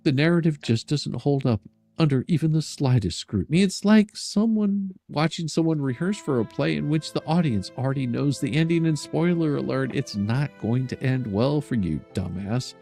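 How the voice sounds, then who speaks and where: A man's voice: fairly high pitch, animated, moderate pace, gravelly, warm tone, faint music behind. An older man hosting a stream, reading a letter aloud in a sarcastic, mocking and theatrical way. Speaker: streamer and host.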